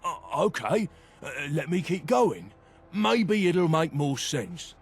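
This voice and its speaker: Earnest young game fighter, unhurried delivery, lively intonation, hesitant, plain-spoken, awkward. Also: male voice, fairly high pitch, husky, exaggerated voice. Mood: troubled, vulnerable. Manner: hesitant, plain-spoken, stumbling over words. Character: insecure young male brawler, awkward and earnest. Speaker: video game companion character. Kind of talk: game voice acting, halting heartfelt dialogue.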